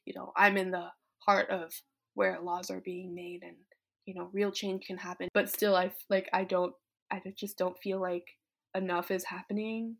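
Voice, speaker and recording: A woman's voice: lowish voice, level tone, moderate pace, creaky. A fifteen-year-old young woman from Vietnam reflects casually and candidly on her life, thoughtful, often dropping in "like" and "you know". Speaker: teenage climate activist.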